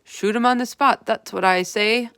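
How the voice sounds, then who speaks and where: A woman's voice: on the high side, normal speed, no echo, studio quality, pitched above the performer's own voice. A woman voicing a hot-tempered male army general in a storybook read-aloud. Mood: blustering. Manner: brusque, shouting, impatient.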